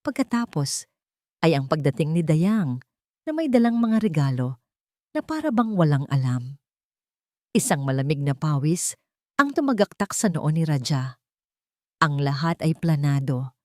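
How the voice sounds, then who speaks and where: A woman delivers dramatic scripted narration as a storyteller. Her voice sits a little low, and the recording is dry and close. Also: sing-song, a little slow, long pauses, darkish tone, no echo.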